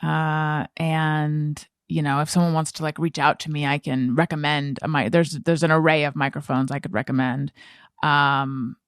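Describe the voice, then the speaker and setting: A woman's voice: deep voice, flat delivery, normal speed, clean tone, no echo, clean studio sound. A woman hosting a podcast, chatting casually in a candid, blunt way with self-deprecating humour. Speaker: podcast host. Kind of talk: casual conversational podcast chat.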